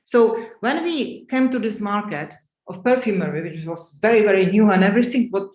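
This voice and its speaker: A woman's voice: mid-range voice, expressive, moderate pace, a little mellow, echoey room. A woman who runs a business, giving firm advice as a guest on an online panel, with nonnative phrasing. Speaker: business owner, guest speaker.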